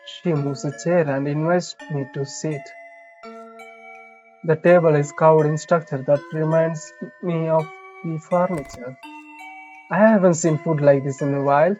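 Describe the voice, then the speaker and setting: A let's play streamer reads a visual novel aloud at an unhurried pace, adding casual comments. The delivery is halting, with lines restarted here and there. The sound is a little dull, and game music plays behind the voice. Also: mid-range voice, steady tone, warm tone.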